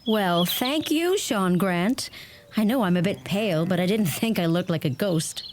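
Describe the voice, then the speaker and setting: Radio play: young American woman female actor, mid-pitched, gentle and inquisitive, faint birdsong and noise behind. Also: lively intonation, a little slow, natural voice. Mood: teasing. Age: young adult. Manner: polite, sympathetic, inquisitive. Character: gentle, curious young American woman visiting rural Ireland.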